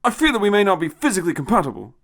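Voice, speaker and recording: A man's voice: sits high, sing-song, racing, fairly bright tone, voice pushed up, full character voice. A man voicing a polite, hesitant male human space traveller in a narrated science fiction story. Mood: nervous, polite.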